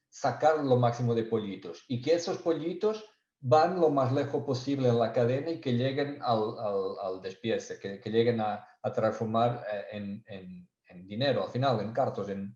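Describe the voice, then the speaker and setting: A man's voice: medium pitch, normal speed, dark tone, reverberant, highs cut off. A man from Portugal speaking Spanish with a Portuguese accent, presenting a technical webinar and often restarting and searching for words. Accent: Portuguese, Spanish learned in Spain and Galicia. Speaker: sales engineer presenting a webinar.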